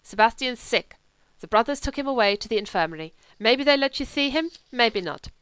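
A woman's voice: on the high side, animated, brisk, clean tone, no room sound, pitched above the performer's own voice, poor audio. A woman doing a wolfish, lisping young German. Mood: offhand. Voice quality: lisp from a missing tooth. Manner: broken English, loud and offhand. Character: lolling young German man, wolfish and louche.

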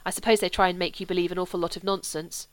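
A woman's voice: on the low side, little pitch movement, rapid-fire, dry recording, lightly acted. A woman reading an audiobook, voicing a skeptical young Englishman who argues in a dry, reasoning way.

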